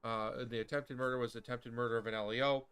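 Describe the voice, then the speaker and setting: A man plays a police detective in a game role-play. His mid-range voice is clean and smooth, and he speaks politely, feeling his way through the lines. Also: mid-range voice, steady tone, quick pace, a bit bright. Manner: hesitant, polite. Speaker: streamer playing a police detective.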